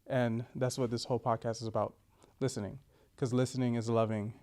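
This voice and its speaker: Upbeat young man with a smooth voice. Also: medium pitch, fairly quick. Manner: upbeat, energetic, laughs often. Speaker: podcast host. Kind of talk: enthusiastic podcast hosting.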